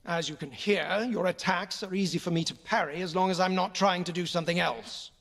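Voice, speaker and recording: Royal uncle sword teacher, high-ish voice for a man, strict but encouraging, proper wording, game acting. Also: fairly quick, vocal fry, a bit bright, heavily acted. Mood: instructive, encouraging. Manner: firm, formal, instructive.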